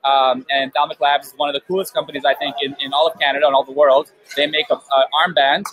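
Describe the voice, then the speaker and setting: A man's voice: a little high, little pitch movement, quick pace, fairly bright tone, rough recording. A man hosting a casual live-stream interview at a busy event, talking loosely with many fillers through a headphone mic. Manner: chatty, loose, apologetic, full of fillers. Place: busy outdoor event beer tent.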